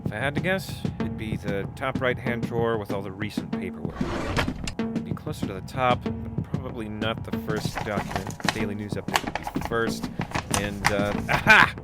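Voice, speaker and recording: A man's voice: on the low side, moderate pace, lightly acted. An actor playing an anxious, eager young man of twenty-two leading space biker recruits in an audio drama, stammering nervously. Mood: focused, hesitant. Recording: music under the voice.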